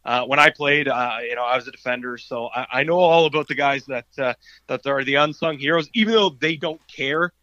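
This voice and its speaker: A man with a raspy voice, answering interview questions in an easygoing way as a guest. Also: medium pitch, a little fast, bright, forceful tone, no echo, highs cut off. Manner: easygoing, laughs often. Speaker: guest columnist.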